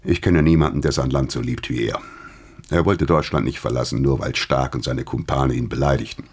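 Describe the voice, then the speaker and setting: A very deep, husky voice for a grave older physics professor in a radio drama. He speaks with measured deliberation and pointed emphasis, like a man recalling dark times. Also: fairly even intonation. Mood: weary, emphatic.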